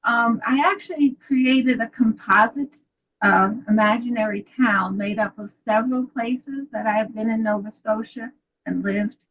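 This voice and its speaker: An older woman from New York with a Brooklyn accent, an author chatting about her books over a video call. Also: on the high side. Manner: chatty storyteller, laughs often. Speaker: author guest. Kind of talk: casual interview chat. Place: home study with bookshelves. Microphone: video call webcam. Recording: dull lo-fi video call audio.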